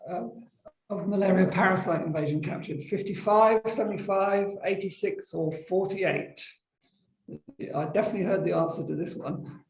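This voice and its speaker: Man quizmaster, high pitched, gravelly, echoey room, video call. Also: normal speed. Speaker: co-host running a quiz. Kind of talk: casual chat.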